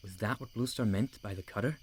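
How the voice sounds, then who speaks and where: Male narrator for an animal adventure audiobook, fairly low pitch, scripted storytelling read. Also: a little fast, slightly rough.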